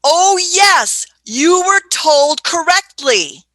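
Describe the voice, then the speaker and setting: Woman playing a bright, fussy, eccentric older Doctor. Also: high-pitched, big pitch swings, extremely slow, no echo, full character voice. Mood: startled, fussy. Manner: fussy, hesitant, repeats phrases, hums questioningly. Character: eccentric, brisk, imperious older female time-travelling Doctor. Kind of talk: theatrical radio play acting.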